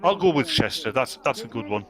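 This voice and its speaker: A man hosting a casual stream, joking and quick to laugh with his panel. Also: mid-range voice, lively intonation. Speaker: host of a radio-listening stream. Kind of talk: casual chat.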